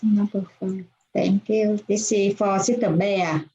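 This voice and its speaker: A woman moderating a group session, brisk and polite, keeping an eye on the time. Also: mid-range voice, steady tone, warm tone, highs cut off. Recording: echoey room.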